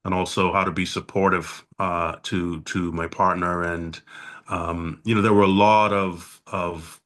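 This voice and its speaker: Deep, flat-voiced man from the Bahamas. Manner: measured, thoughtful, with frequent "uh" pauses. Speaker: guest. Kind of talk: reflective podcast conversation.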